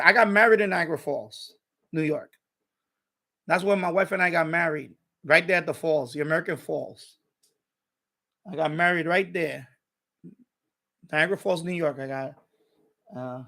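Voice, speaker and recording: A man's voice: fairly high pitch, normal speed, gravelly, pauses often, bright, forceful tone. A middle-aged man from Puerto Rico chatting casually on a livestream, rambling and often repeating himself. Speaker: live streamer, radio hobbyist.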